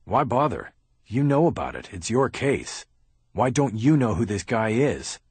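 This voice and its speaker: A man's voice: medium pitch, big pitch swings, unhurried, darkish tone, slightly rough, dry recording, exaggerated voice. A man voicing a defensive, sarcastic defense lawyer who talks in a heated, insistent way.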